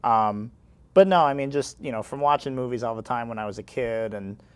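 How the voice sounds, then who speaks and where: A man's voice: medium pitch, brisk, clean tone. A man in his twenties from small-town Illinois with an American accent, answering interview questions in a casual, rambling, wry way. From small town in Illinois, United States. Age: 20s. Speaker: interview guest, arts and entertainment editor.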